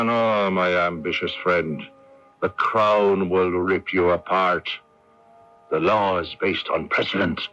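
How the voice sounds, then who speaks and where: An actor playing a condemned Irish patriot in a radio drama, bitter, fatalistic and eloquent, with a theatrical, sardonic and deliberate delivery. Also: male voice, on the low side, a bit bright. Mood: bitter, defiant. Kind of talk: radio drama acting with narration. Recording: dull, muffled 1980s AM radio recording.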